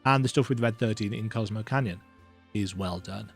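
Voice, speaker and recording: A man's voice: on the low side, normal speed, creaky, breathy edge. Rambling casual man over music. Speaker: video game let's play host. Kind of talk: casual, rambling gaming commentary.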